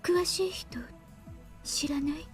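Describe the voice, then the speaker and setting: A woman voicing a quiet, gloomy, shy but persistent young woman in a Japanese visual novel, in soft, halting short phrases. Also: very high-pitched, fairly even intonation. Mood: timid, insistent. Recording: music bed behind the voice.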